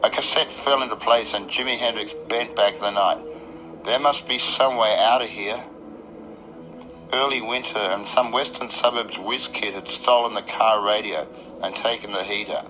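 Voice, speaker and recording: A man's voice: lowish voice, steady tone, moderate pace, poor audio. An Australian man performing a dramatic first-person radio narration in a wry, literary beat-poet storytelling manner. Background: electric guitar music.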